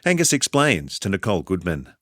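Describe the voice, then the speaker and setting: A man's voice: sits low, sing-song, brisk, fairly bright tone, dry recording, studio quality. An Australian man hosting a podcast, reading a scripted introduction. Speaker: podcast host.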